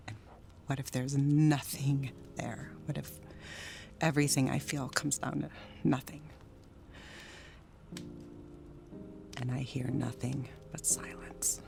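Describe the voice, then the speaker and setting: An actress playing an emotionally volatile, lonely, newly sober wife in a theatrical audio drama monologue. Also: female voice, very deep voice, creaky, heavily acted. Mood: scared, hushed. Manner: theatrical, swinging between outbursts and confiding murmurs.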